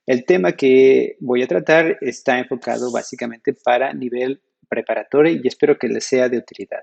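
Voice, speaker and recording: A young man from Mexico with a Mexican Spanish accent, giving a measured, teacherly slide presentation at a conference, recorded on a computer webcam in a small room. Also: medium pitch, creaky, darkish tone, dull audio.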